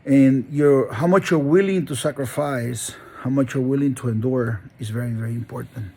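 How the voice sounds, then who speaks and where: A man's voice: mid-range voice, normal speed, vocal fry, mellow. A middle-aged man from Honduras, telling his life story in an earnest, rambling monologue full of restarts. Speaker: dog breeder and channel host. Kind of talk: personal monologue.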